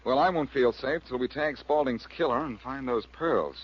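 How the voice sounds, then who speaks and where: An actor playing a confident, wisecracking reformed crook turned sleuth in a 1940s radio drama, with a cool, glib delivery. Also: male voice, mid-range voice, moderate pace, breathy edge, close to an everyday voice. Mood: puzzled, probing. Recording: muffled, hissy vintage radio recording.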